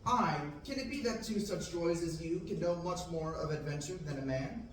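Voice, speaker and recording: A man's voice: a little high, moderate pace, a little hiss or hum, natural voice. A man reading an eager young farm boy in declamatory Shakespearean verse at an amateur table reading. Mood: yearning. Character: restless young farm boy dreaming of adventure. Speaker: table-read actor. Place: large classroom, read from a table. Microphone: far room camera. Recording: echoey room, distant mic.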